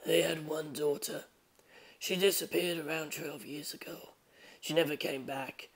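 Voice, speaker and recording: A man voicing an aloof, bookish teenage boy in an audiobook, speaking softly in a detached deadpan. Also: a little high, measured pace, low-quality recording. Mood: detached, morbid. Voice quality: hushed, soft.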